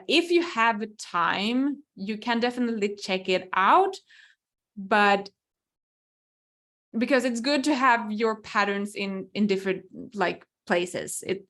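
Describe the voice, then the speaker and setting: A young woman, speaking English as an at a slow, easy pace. She answers viewer questions through a computer mic, pausing to think aloud with frequent ums and small restarts. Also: mid-range voice, a bit bright. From Finland. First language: Swedish. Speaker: webinar host, crochet designer. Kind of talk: live webinar Q&A.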